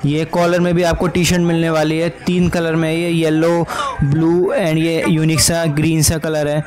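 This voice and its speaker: A man's voice: a little high, level tone, moderate pace. A man in his twenties narrating a casual vlog tour as a voice-over, addressing viewers as friends. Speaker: vlogger. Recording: background music under the voice. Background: music.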